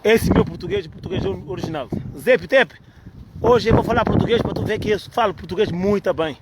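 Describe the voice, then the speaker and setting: High-voiced man rambling outdoors on a noisy phone recording. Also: fairly quick. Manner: rambling, repetitive, playful. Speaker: vlogger. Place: outdoors in the cold.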